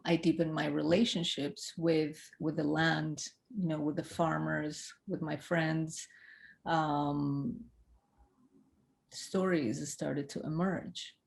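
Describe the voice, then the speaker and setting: A film director who grew up in Ethiopia, speaking in a recorded festival Q&A, thoughtful and hesitant with many fillers and restarts. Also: female voice, low voice, level tone, slow pace, vocal fry.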